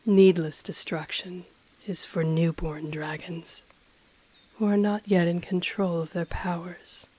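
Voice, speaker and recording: Woman as a slow, breathy, regal ancient dragon. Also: fairly low pitch, steady tone, dark tone. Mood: haughty, composed. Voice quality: breathy, half-whispered. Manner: slow, formal, deliberate, haughty. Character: ancient powerful dragon, regal, intrigued, teasingly menacing. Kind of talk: scripted audio roleplay, intimate monologue to listener.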